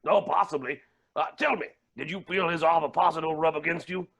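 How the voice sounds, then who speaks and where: A man playing a smug, sinister billionaire villain in a staged comedy reading, theatrical and oily with a raspy voice. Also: fairly high pitch, fairly quick, fairly bright tone. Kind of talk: staged reading of a comic play.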